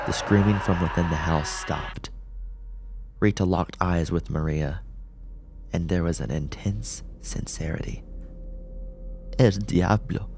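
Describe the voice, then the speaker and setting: A man reading dramatic horror fiction as an audiobook narrator, in a deliberate, suspenseful manner. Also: a bass voice, dark tone, a little hiss or hum.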